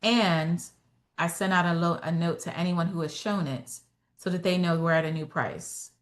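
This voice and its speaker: A woman real estate agent and trainer answering podcast interview questions in a steady, self-assured way, explaining step by step with fillers. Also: lowish voice, moderate pace. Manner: firm and self-assured about her own voice.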